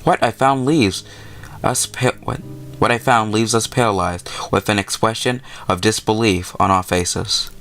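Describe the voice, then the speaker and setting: A man's voice: lowish voice, steady tone, a little slow, a bit bright. A man hosting a let's play, reading a visual novel aloud with casual commentary and sometimes stumbling over his words.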